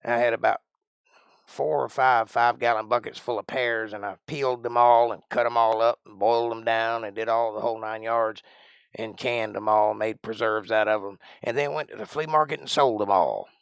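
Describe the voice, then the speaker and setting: A man's voice: mid-range voice, steady tone, normal speed, creaky, clean tone, no room sound. A man in his forties hosting a live stream, chatting casually in a rambling, folksy way as he thinks aloud and answers his chat. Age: 40s.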